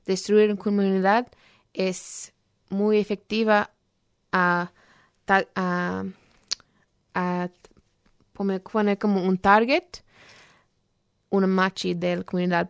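A woman talking earnestly on a program, halting often as she searches for words. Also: medium pitch, clear, resonant tone, no room sound, clean studio sound. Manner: earnest, explanatory. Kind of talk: program talk on social issues.

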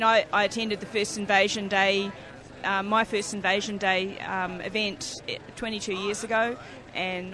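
Union person at a rally, kinda hesitant, lots of ums, crowd loud behind. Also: mid-range voice. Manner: hesitant, earnest, many fillers. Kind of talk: casual street interview answers.

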